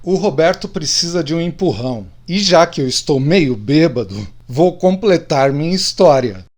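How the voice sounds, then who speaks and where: A man acts out a middle-aged Brazilian friend, a little drunk and thoughtful. His voice is slightly higher than most men's. He speaks plainly and confidingly, in radio drama style. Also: lively intonation, normal speed, a little mellow. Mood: goading.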